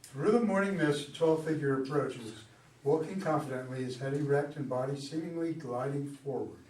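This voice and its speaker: A man's voice: mid-range voice, normal speed, a little mellow. A man reading stage directions as narrator of a scripted play. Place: indoor room at a live reading. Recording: echoey room, distant mic, faint noise.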